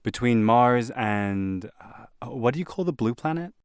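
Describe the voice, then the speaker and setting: A man's voice: medium pitch, animated, measured pace, clear, resonant tone, dry recording, studio quality, close to an everyday voice. A man playing an earnest, conscientious alien property buyer in an audio play, with a hesitant, deliberating delivery. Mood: pondering.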